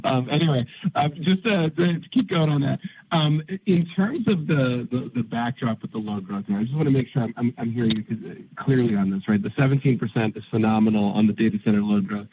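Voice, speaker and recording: A man's voice: mid-range voice, fairly quick, breathy edge, phone-quality sound. A man, a financial analyst, asking questions in a casual, upbeat, rambling way. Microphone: phone.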